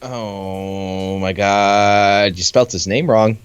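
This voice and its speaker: A man's voice: lowish voice, steady tone, extremely slow, smooth, muffled recording. A man co-hosting casually, chatting and reacting to a comedic reading. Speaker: co-host of a comedic reading show.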